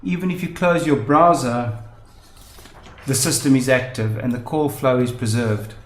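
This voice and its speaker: A man presenting a software tutorial, explaining methodically step by step. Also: medium pitch, a little slow. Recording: roomy, echoing voice-over.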